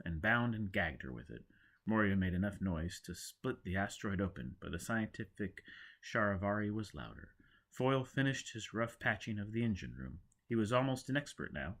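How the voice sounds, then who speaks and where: A man's voice: fairly low pitch, sharp tone. A man narrating a scripted story dramatically as an audiobook reader.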